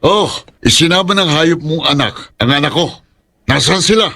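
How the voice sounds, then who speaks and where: A man playing an angry, vengeful father in a Filipino radio horror drama, speaking forcefully and with threat. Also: on the high side, animated, moderate pace, full character voice. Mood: furious. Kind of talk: melodramatic radio drama acting.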